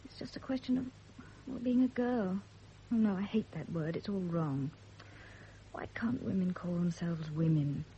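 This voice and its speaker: An actress playing a devoted, anxious young wife in a radio drama, earnest and apologetic. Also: female voice, lowish voice, moderate pace, dark tone, natural voice. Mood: tender, wistful. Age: young adult. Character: anxious, devoted young pregnant wife, self-blaming and emotional. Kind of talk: period radio drama acting. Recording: dull, noisy old radio drama recording.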